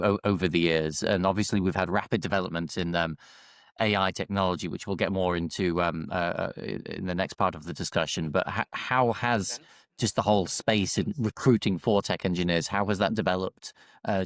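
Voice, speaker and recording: A man's voice: low-pitched, a bit bright, dry recording, studio quality. A man hosting an interview over a video call, with a voice he is losing, hesitating through many fillers and restarts. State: losing his voice. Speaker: podcast host.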